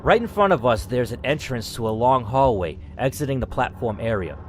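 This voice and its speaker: A man hosting a dramatic story reading, who reacts out loud and swears as he weighs each choice. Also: mid-range voice, expressive, brisk, slight background noise. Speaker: host reading an interactive story.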